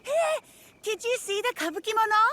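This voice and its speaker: Excitable little village girl for a game, super high bright voice, dramatic and eager. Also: normal speed, a little hiss or hum. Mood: eager curiosity. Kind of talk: video game acting.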